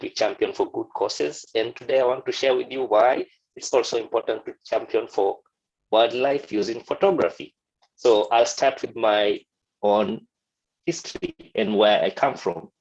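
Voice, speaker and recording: A man from Kenya with a Kenyan accent, giving a friendly, halting presentation to students. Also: lowish voice, a little mellow, slightly rough, dull audio. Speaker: guest photographer.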